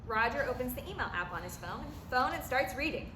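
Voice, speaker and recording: A woman's voice: a little high, animated, brisk, bright, forceful tone. A woman reading out stage directions as scripted narration. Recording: echoey, noisy room recording.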